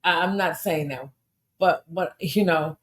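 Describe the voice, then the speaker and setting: A hoarse woman hosting her own podcast, talking in an animated, indignant and emphatic way. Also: fairly low pitch, a little slow. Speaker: podcast host. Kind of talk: casual monologue podcast.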